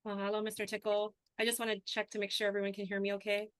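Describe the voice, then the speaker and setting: A woman from Hawaii giving an earnest webinar talk as a cultural trainer, speaking softly with frequent ums. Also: medium pitch, completely flat delivery, racing, slight background noise. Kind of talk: webinar presentation. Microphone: video call.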